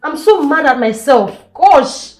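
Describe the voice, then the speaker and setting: Spoiled young princess, high swinging voice, petulant, vengeful, emphatic repeats, film melodrama, faint music. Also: female voice, animated, slow pace, reverberant. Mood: regretful, frustrated.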